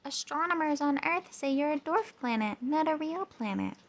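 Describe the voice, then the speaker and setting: A cheeky little space rocket with a high, shouting voice, teasing as he zooms by, as a woman plays him. His tone bounces up and down with a rough edge. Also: expressive, moderate pace.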